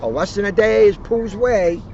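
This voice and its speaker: An American man with a fairly high voice reads and talks informally as a community police officer. He is outdoors, with clear background noise and a slightly dull sound. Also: normal speed, darkish tone. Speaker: police officer reading to children. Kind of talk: storybook read-aloud and informal community talk. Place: outdoors by a street. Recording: noisy, slightly muffled outdoor recording.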